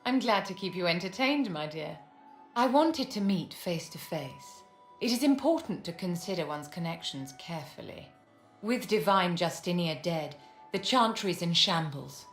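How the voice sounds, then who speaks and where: In a reverberant hall with music underneath, an aristocratic, shrewd woman mage for a video game. Her voice sits fairly low for a woman, and she speaks with measured, haughty courtesy. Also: lively intonation. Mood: courtly, composed.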